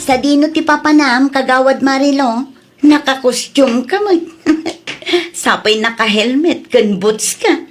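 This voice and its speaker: A woman with an Ilocano Filipino accent playing a nagging, sharp-tongued village wife and mother in a comedy audio drama. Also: high voice, unhurried, soft background music. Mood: teasing. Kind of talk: comedy radio drama acting.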